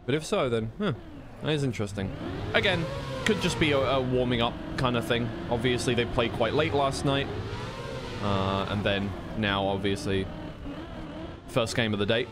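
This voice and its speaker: A man with a mid-pitched voice commentates an online racing match. He is informal and a little flustered, mixing race calls with chatty asides to stream viewers. Game car noise is clearly audible behind him. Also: normal speed. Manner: informal, slightly flustered, thinking aloud. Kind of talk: live racing game commentary mixed with casual stream chat.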